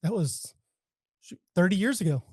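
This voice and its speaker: An American man in his forties talks as a podcast guest in a high-pitched voice. The recording is dry and close, and his casual conversational delivery is polite and appreciative. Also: expressive, normal speed, husky, no echo. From Texas, United States. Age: 40s. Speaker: podcast guest, leadership coach. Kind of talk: casual interview chat.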